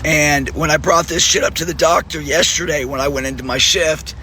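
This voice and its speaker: A hoarse male nurse, losing his voice, giving an angry, profane video appeal and clearing his throat often. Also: a little high, steady tone, fairly quick, sharp tone, slight background noise. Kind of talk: direct-to-camera video appeal.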